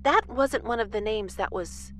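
A woman's voice: fairly high pitch, normal speed, a bit bright, lightly acted. A woman playing an earnest, flustered moonbase coordinator in an audio drama, precise and a little hesitant. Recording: faint background noise and ambience.

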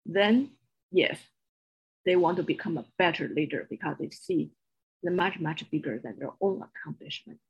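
A woman's voice: deep voice, normal speed, breathy edge, muffled recording. A woman with a strong Chinese accent, a non-native English speaker, speaking earnestly as a guest coach in an interview. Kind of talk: podcast interview.

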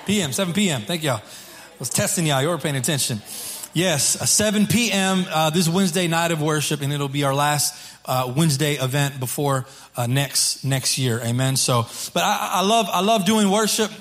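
A man preaching as a pastor to his congregation in an informal, halting way, repeating phrases, with a slightly hoarse voice. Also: on the high side, a bit bright. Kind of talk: sermon to a congregation. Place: church service.

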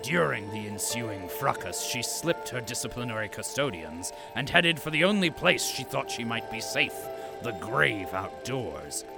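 A man's voice: medium pitch, big pitch swings, a little slow, creaky, over music. A man playing a stern, pompous corporate propaganda announcer, narrating an audio drama with theatrical menace. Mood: grave, ominous. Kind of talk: dramatic scripted radio narration.

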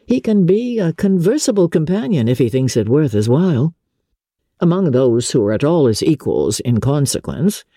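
A woman plays an aggrieved young gentleman from a classic novel. His voice is mellow and a little husky, close and dry. He speaks in a measured, confiding way, with mournful polish. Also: low-pitched, no echo, exaggerated voice. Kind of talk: dramatic audiobook reading.

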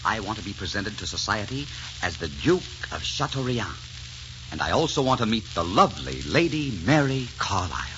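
An actor playing a suave, daring French barber who poses as a duke, gallant, teasing and theatrical in 1940s radio drama style. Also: male voice, lowish voice, very animated, normal speed, noisy background. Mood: smoothly menacing. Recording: dull 1940s radio recording with music.

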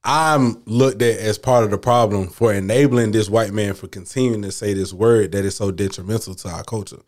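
A man's voice: fairly low pitch, moderate pace, darkish tone. A man, talking in loose slang on a casual podcast, full of filler and emphatic repeats. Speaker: podcast guest.

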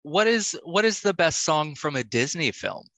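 A male podcast host with a bright, forward voice in a very dry recording. He asks his questions casually and responds in an encouraging way. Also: a little high, animated, normal speed, no room sound. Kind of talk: casual interview, asking quick-fire questions.

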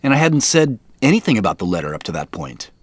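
Regular guy in a mystery audio drama, lowish voice that moves around a lot, talks natural. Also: sing-song, fast, dry recording. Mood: pensive, intrigued. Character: Joseph, adult man on a mysterious family quest.

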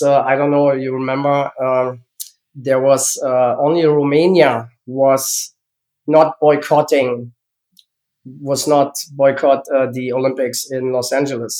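A man in his fifties with a German accent tells his story in English, a language he learned later, in a fairly high voice. He pauses often and hunts for words, filling the gaps with ums and uhs. The pace is steady, as in an interview. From East Germany. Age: 50s. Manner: halting, searching for English words, frequent fillers. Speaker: guest, former gymnast.

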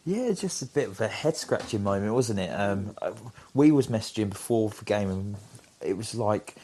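A chatty man talks eagerly about football as a podcast co-host. His voice sits fairly low, and he rambles with plenty of fillers. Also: expressive, normal speed, mellow, slight background noise. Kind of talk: casual football chat.

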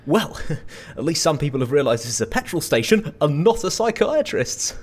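An actor playing a chatty, over-eager night-shift petrol station attendant who rambles and pries in comedy audio drama. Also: male voice, fairly high pitch, very animated, moderate pace. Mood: cheerfully prying. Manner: chirpy, rambling, punning sales patter.

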